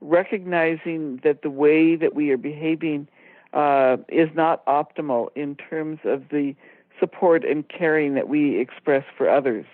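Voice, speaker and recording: A woman co-hosting a radio talk show, explaining her points in a measured, orderly way. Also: sits low, no room sound. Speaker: co-host and author. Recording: dry tinny phone line.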